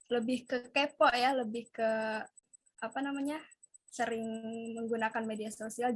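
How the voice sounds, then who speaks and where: A woman's voice: a little high, no echo. A young woman hosting a casual student interview, chatty and curious as she asks her guests questions. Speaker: host.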